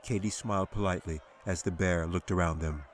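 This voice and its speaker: A man reads a fantasy audiobook in a low-pitched voice. His delivery is measured and dramatic, in the manner of scripted storytelling. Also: steady tone, slight background noise. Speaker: author reading own audiobook.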